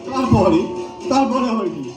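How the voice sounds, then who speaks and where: A man plays a comic god, a grumbling, easygoing husband with a high voice. It is a stage play heard from afar in an echoey hall, with music behind. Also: animated, normal speed, recorded from a distance. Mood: boastful, amused. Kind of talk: community comedy stage play.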